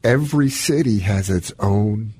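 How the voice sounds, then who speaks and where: A husky-voiced man co-hosting a health radio show, chatting and telling anecdotes in an animated, folksy way. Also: lowish voice, a little slow, no room sound. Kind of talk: casual talk and anecdotes. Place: radio studio.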